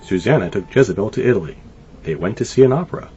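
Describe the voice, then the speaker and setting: A man narrates a children's storybook in a fairly low voice that swings widely in pitch. His delivery is expressive and scripted. The sound is slightly dull, with music playing behind him. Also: fast talker. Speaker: storybook reader. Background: light music.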